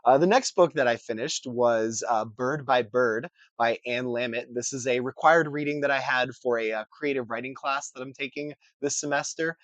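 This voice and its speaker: Hoarse young man chatting about books. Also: normal speed, hardly any pauses, fairly bright tone, dry recording. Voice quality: scratchy, deeper than usual. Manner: chatty, upbeat, many uh fillers and restarts. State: hoarse, recovering from a cold. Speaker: book vlogger. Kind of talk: casual chat to camera.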